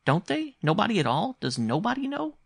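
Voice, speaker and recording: A man's voice: a little high, big pitch swings, normal speed, gravelly, a bit bright, no echo, clean studio sound, voice pushed up, heavily acted. A man voicing a small boy, timid yet stubborn, asking earnest questions in an audiobook story. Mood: insistent, wondering. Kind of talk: literary audiobook character voice.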